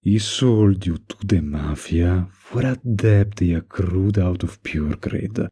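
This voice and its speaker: A man playing a mafia boss in an intimate roleplay audio, menacing yet kindly, with an unhurried, lightly teasing, flirtatious manner and a gravelly, husky voice. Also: deep voice, warm tone, full character voice. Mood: firm, reassuring.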